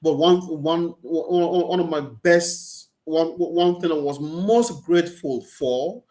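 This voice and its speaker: Nigerian priest, fairly high-voiced, hesitant. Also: male voice. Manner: hesitant, repeats words as he searches for phrasing. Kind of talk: casual interview conversation. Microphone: video call, laptop or webcam.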